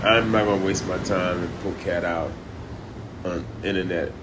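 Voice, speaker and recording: A man livestreaming from a high-rise room by the beach on a phone at arm's length, talking loud, profane and animated. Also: normal speed, background noise. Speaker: comedian livestreaming. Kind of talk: casual live stream chat. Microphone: phone held at arm's length.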